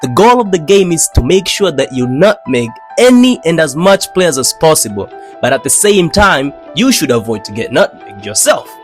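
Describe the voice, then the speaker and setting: Young man from Tanzania, comic storyteller, slangy and animated, higher voice, faint music underneath. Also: normal speed. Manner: animated, slangy, playful delivery with dramatic swings. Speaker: animator narrating his own channel. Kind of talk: comedic storytime narration.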